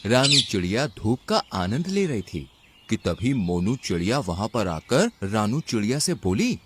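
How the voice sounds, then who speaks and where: Lively male children's story narrator. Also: fairly low pitch, a little slow, noisy background.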